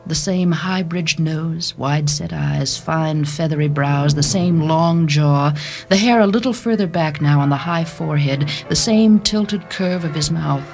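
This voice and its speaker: A woman's voice: low-pitched, measured pace, darkish tone. Woman narrating a story over music. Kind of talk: dramatic scripted narration of a short story. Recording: music bed behind the voice.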